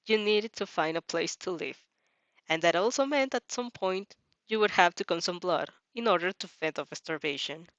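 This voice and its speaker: A woman's voice: medium pitch, fairly bright tone, no room sound, highs cut off. A woman reading a story aloud in a casual way, stumbling now and then and adding giggly asides. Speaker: reader reacting to fan fiction.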